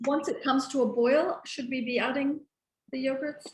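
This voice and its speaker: A woman's voice: high-pitched, fairly even intonation, moderate pace, echoey room, a little hiss or hum. A woman hosting a video call for a charity, chatting in a friendly way with plenty of "um"s and asking the cook questions. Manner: friendly, asks questions, filler-heavy. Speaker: host from the charity. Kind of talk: casual video call chat.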